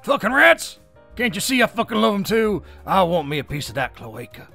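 A man playing a manic, hot-tempered, foul-mouthed demon in a cartoon dub, with a gravelly, strained shout. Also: high-pitched, very animated, moderate pace, faint music behind, heavily acted. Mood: enraged.

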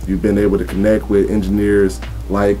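A man's voice: on the low side, level tone, a little fast, warm tone, soft background music. A man in his thirties hosting an interview in a studio, asking questions in a hesitant, stammering way with many fillers. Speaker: interview host. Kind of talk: casual interview. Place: small recording studio room.

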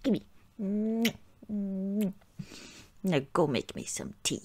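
A woman playing a playfully whiny, pouting girlfriend in an improvised ASMR roleplay, with halting, giggly, mock-sulky pleading. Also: medium pitch, expressive, warm tone, dry recording. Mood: pouty, needy.

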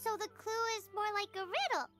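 A woman voicing a tiny, excitable, childlike fairy companion girl in an anime video game, speaking in exclamations. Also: extremely high pitch, a little fast. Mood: curious. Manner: speaks of herself in third person, exclamatory. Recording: game music behind the voice.

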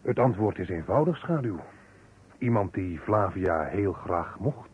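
An actor playing a detective's companion theorising aloud in an old radio drama, dramatic and hesitant. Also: male voice, low voice, expressive, unhurried, noisy background. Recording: muffled, narrow-band vintage radio recording.

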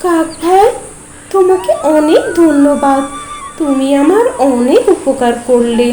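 A woman's voice: high voice, slow, mellow, echoey room. A woman voicing a worried little mother bird. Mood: grateful. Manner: pleading, worried. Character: anxious little female tailorbird mother.

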